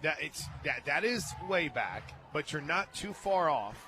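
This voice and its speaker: Higher-voiced American man calling softball on radio. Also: very animated, a little slow. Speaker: radio play-by-play announcer. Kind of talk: live softball radio commentary, chatty between pitches. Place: stadium radio booth, open to outdoor noise. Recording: clear outdoor ballpark noise.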